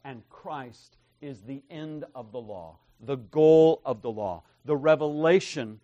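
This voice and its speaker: A man preaching an expository sermon, emphatic and tagging his points with "right?", his loudness swinging from soft asides to forceful declarations. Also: mid-range voice, slow, dry recording, highs cut off. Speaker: pastor.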